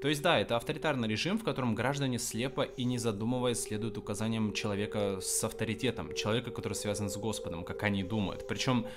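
Fast-talking, low-voiced man reviewing animation. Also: loud music behind the voice. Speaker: video reviewer.

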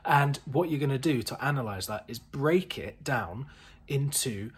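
A young man presenting an informal explainer to camera in a chatty, wry, animated way, with a husky voice. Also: medium pitch, unhurried. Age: young adult. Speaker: museum video presenter. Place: indoor museum rooms full of synthesizers.

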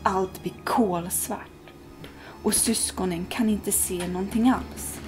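A young woman reading a ghost story aloud to children in a cozy living room, dropping to whispers at the tense moments. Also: fairly low pitch. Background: soft background music.

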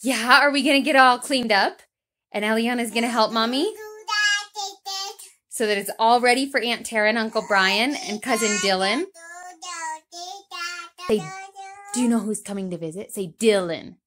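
A woman in her thirties, narrating a casual home cleaning vlog and speaking to her toddler in a gentle sing-song way, in a small bedroom with a camera across the room. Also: fairly high pitch, moderate pace, pauses often. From United States. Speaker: vlogger and mother. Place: small apartment bedroom indoors. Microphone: camera set up across the room.

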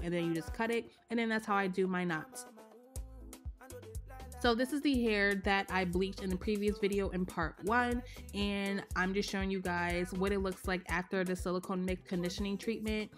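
A young woman, a little hoarse from a cold, chatting casually through a step-by-step hair tutorial voice-over. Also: medium pitch, moderate pace. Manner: chatty, relaxed, explains step by step with "like" and "um". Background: faint background music.

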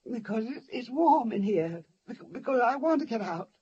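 A woman's voice: fairly high pitch, animated, moderate pace, mellow, husky, muffled recording. An actress playing a frightened older woman in an old radio thriller, anxious, pleading and placating. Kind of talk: 1940s-50s radio thriller acting. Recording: noisy old-time radio recording.

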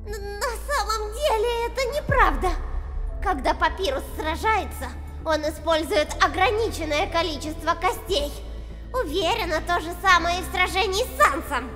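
Squeaky, eager girlish monster sidekick. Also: expressive, normal speed, bright, forceful tone, faint music behind, heavily acted. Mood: nervous, helpful. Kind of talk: dramatic comic dub. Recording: long reverb over voice.

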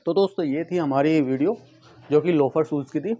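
A man casually pitching products to camera, often addressing viewers as friends and leaning on repeated verbal tics. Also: normal speed, dull audio. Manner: repetitive filler phrases, addresses viewers as friends. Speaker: vlogger and shoe seller. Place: tiled room at home with stock boxes, indoors. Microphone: clip-on lapel mic. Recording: noticeable background noise, clicks.

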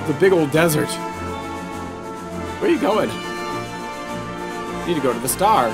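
A man's voice: on the high side, moderate pace. A middle-aged man giving casual, coaching commentary as a father co-hosting a gameplay video. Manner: coaching, animated. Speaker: father co-hosting a family let's play. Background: prominent game music.

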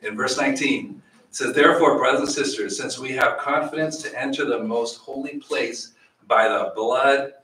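A middle-aged man teaching a Bible study in a small church room, animated, with questions for the audience. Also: medium pitch, normal speed, slight background noise. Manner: animated, gestures widely, asks the audience questions. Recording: echoey room, voice from far off.

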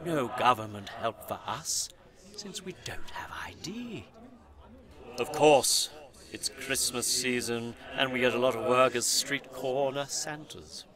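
An actor playing a world-weary Christmas spirit in an audio play, earnest, reproachful and pleading. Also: male voice, medium pitch. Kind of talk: scripted audio play acting.

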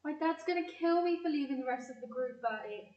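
A woman's voice: sits high, expressive, quick pace, darkish tone. A woman playing a guarded, easily exasperated fourteen-year-old girl in a community audio play. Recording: echoey, muffled, distant microphone.

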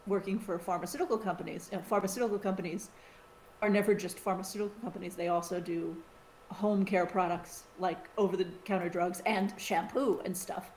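A middle-aged woman from the United States, co-hosting a podcast in a casual, animated chat with frequent restarts and side remarks. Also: on the low side, a little fast. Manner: chatty, animated, with many restarts. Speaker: podcast co-host. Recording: roomy sound with faint hiss.

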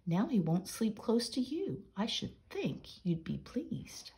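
A woman's voice: fairly high pitch, sing-song, slow pace, mellow, voice pushed up, full character voice. A woman voicing a cheerful, kindly man in a children's storybook. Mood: gently chiding. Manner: amused, kindly. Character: cheerful, kindly man who cares for animals.

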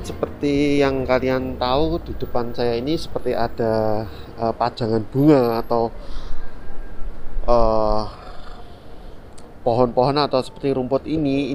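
A man in his thirties chats easily to his viewers as he strolls outdoors, filling pauses and checking in with them often. His voice sits at an ordinary middle pitch, caught on a phone held at arm's length, with faint street and traffic noise behind him. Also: darkish tone, rough recording. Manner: chatty, many fillers, keeps addressing viewers as friends. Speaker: vlogger. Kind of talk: casual walking tour vlog.

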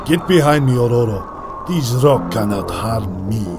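A man playing an earnest, protective young mutant strongman in an audio drama, with formal, deliberate phrasing. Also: mid-range voice, animated, loud music behind the voice, lightly acted. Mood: defiant, protective. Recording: loud background noise and effects.

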